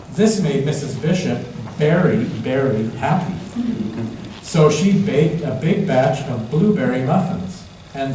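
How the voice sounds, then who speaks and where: A man's voice: medium pitch, mellow. A man reading a picture book aloud to young children at church, repeating phrases and putting simple questions to them. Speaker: children's story reader at a church service. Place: large echoey room, church. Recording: echoey room, distant mic, faint noise.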